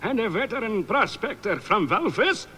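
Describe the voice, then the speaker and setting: An excitable reciter of mock-heroic advert verse. The voice is extremely high, piercingly bright and swoops with melodrama. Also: male voice, moderate pace, breathy edge, exaggerated voice. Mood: melodramatic. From South Africa. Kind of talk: spoof radio advert verse.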